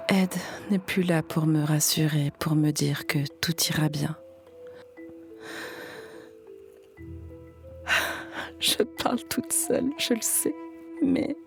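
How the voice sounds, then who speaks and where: A woman acts as a haunted, sensitive clairvoyant in a supernatural audio drama. She speaks slowly and intimately, with a hushed, earnest delivery and a creaky edge. Her voice sits a little low over faint music. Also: heavily acted. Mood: grieving, whispery.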